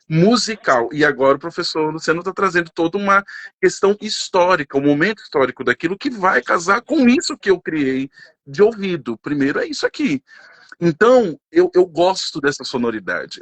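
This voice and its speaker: A Brazilian man in his thirties talks with feeling and a fairly high voice. He is expressive and jumps between stories. The sound is close and dry. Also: no echo. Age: 30s. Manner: emotional, expressive, sings snatches of songs. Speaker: panel guest. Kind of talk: casual conversation.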